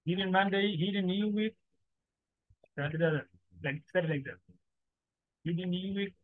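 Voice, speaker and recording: Man teaching, halting. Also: high voice, steady tone, no echo. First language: Somali. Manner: halting, repeats phrases, broken English. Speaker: forex trading teacher. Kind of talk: informal teaching monologue. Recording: dry, slightly dull lo-fi recording.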